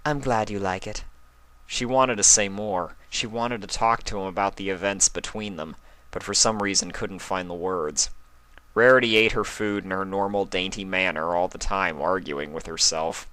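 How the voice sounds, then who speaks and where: A man's voice: deep voice, moderate pace, sharp tone, no room sound. A man reading a story aloud as a scripted third-person narrator. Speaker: fan fiction reader, narrator.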